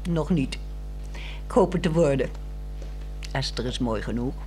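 A woman's voice: rumbling low, animated, unhurried, warm tone, soft background music. A woman with a Netherlands Dutch accent playing a bored, frank housewife in a 1960s radio drama, probing and pointed in a dry way. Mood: playful, pointed.

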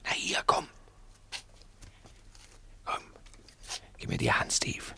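An actor playing a gruff, impatient burglar in a German crime radio play, bossy and irritable in hushed tones. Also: male voice, takes it slowly, whispered. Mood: calm, commanding. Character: gruff, impatient burglar leading his timid partner through darkness.

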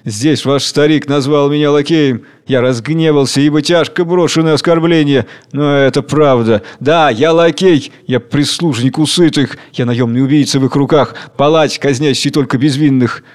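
A man's voice: a little high, moderate pace, darkish tone, close to an everyday voice. A man acting a mighty, somber, fatherly tyrant spirit, declaiming grandly and with command. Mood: bitter, sullen.

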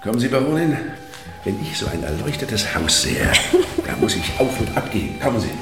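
An actor playing a self-assured, smooth-talking baron in a German radio drama, theatrical and commanding. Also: male voice, lowish voice, sing-song, a little fast, echoey room, over music. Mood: expansive.

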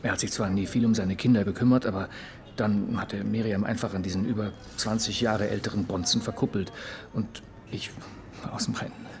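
A man playing a jilted lover under questioning in a radio crime play. His voice is fairly low, and he speaks haltingly and reflectively, with bitterness underneath. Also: fairly even intonation, darkish tone, close to an everyday voice. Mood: wistful, bitter. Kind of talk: German radio crime drama acting.

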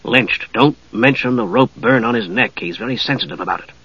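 Man playing a gruff, growling dwarf rogue. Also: mid-range voice, wildly swooping pitch, brisk, bright, forceful tone, no echo, highs cut off, heavily acted. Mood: undertone. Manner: growling, stiff, muttering. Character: gruff, proud, irritable male dwarf rogue.

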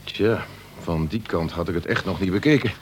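An actor playing an earnest, deferential prison major, second in command, in a Dutch radio drama. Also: male voice, deep voice, normal speed, slight background noise, natural voice. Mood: earnest, concerned. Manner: earnest, tentative with his superior.

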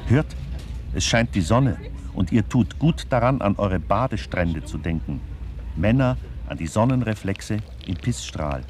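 An actor playing a wry, digressive narrator in a literary radio play, musing deliberately and addressing the listeners. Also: male voice, on the low side, animated, a little mellow, faint music behind. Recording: clearly noisy background.

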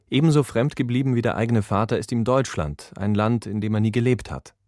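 A man's voice: fairly low pitch, a little mellow, dry recording, studio quality. A man working as a radio announcer, reading a scripted programme introduction.

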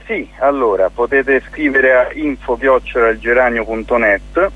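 A man, explaining an event programme in an informal radio interview, often searching for words in a halting way. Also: mid-range voice, phone-quality sound. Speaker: interview guest, photography teacher and event organiser.

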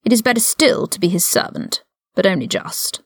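A woman's voice: mid-range voice, sing-song, no echo, clean studio sound. Woman voicing a haughty, cold older aristocratic wizard. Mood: cold, pragmatic. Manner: condescending, measured, drawling formal speech. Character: older aristocratic male wizard prisoner, haughty and coldly mocking.

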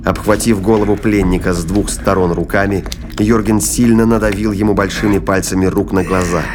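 A man's voice: low-pitched, steady tone, brisk, background noise. A man reading scripted dramatic narration as the narrator of an audio drama.

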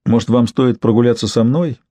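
Audiobook role: male reader as a polite young fixer, matter-of-fact, mellow, quietly persuasive. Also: medium pitch, a little fast, no echo, lightly acted. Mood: businesslike.

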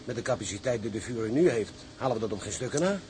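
An actor playing a blunt, sceptical and practical ship's officer in a seafaring audio drama, with a dry, doubting manner. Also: male voice, lowish voice, animated, very fast, a little mellow, slight background noise, lightly acted. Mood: grave, practical. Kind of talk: Dutch audio drama acting.

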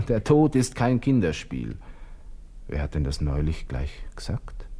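An actor playing a proud, agitated Austrian army lieutenant, brooding to himself in a radio play, breaking into whispers and outbursts. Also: male voice, rumbling low, sing-song, a little slow, dark tone, slightly rough, heavily acted. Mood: brooding, despairing. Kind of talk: interior monologue in a radio play.

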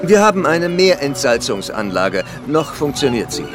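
An actor playing a controlling, devout island survivor who explains things in a measured, insinuating way in a horror radio drama. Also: male voice, medium pitch, big pitch swings, music in the background, lightly acted. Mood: explaining, grave. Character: controlling male island survivor, smooth-talking, devout guide.

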